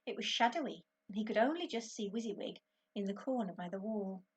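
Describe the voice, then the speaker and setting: Slightly husky woman reading a children's story. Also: mid-range voice, brisk. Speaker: teacher reading to a class.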